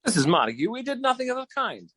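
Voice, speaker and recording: A florid German charmer for an old radio comedy. The accent is German, the pitch leaps about, and the sound is dry and close. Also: male voice, very animated, fast talker, fairly bright tone, breathy edge, no room sound, exaggerated voice. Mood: protesting innocence. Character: German-accented male friend in a rabbit costume, florid flirt. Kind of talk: 1940s radio comedy acting.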